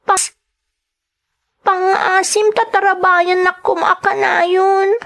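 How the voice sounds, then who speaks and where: A woman's voice: very high-pitched, flat delivery, slow, gravelly, a bit bright, exaggerated voice. A woman playing a poor, hurt but determined young schoolgirl in an Ilocano drama, with a Filipino Ilocano accent and a plaintive, pleading delivery. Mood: tearful prayer. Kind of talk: Ilocano radio-style drama acting.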